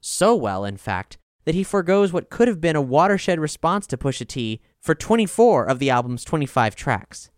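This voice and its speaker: A man's voice: mid-range voice, clear, resonant tone, no echo, clean studio sound. A man reading a scripted music review as narration. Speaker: reader of album reviews.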